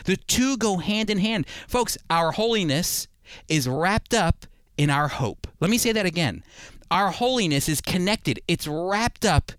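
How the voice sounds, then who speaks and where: A man's voice: sits high, lively intonation, measured pace, a bit bright, smooth, no room sound. A man preaching a sermon, addressing listeners directly and repeating key points for emphasis. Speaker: preacher and Bible teacher.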